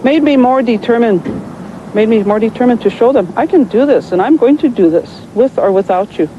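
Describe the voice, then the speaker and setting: A woman business owner speaking in an interview, emphatic and halting. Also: a little high, expressive, highs cut off, slight background noise. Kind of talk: interview soundbite.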